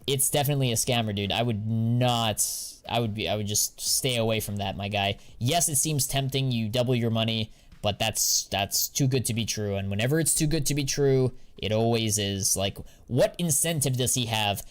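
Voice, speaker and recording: A man's voice: mid-range voice, normal speed. Young adult American man, easygoing streamer, casual slang, medium voice. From United States. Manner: slangy and laid-back, drawls filler words. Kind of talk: casual live-stream chat, answering viewers.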